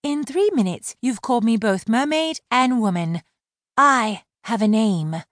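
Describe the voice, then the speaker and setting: A woman's voice: on the high side, expressive, a little slow, fairly bright tone, dry recording, studio quality, voice pushed up. A woman voicing a proud, prickly adult mermaid who insists on being treated with respect. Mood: indignant. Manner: indignant, crisp.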